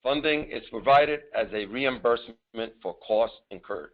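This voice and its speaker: A man's voice: medium pitch, moderate pace. Man presenting over a tinny phone line. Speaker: government disaster assistance official presenting. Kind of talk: formal scripted presentation with some improvised asides. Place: office, on a conference call. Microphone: telephone.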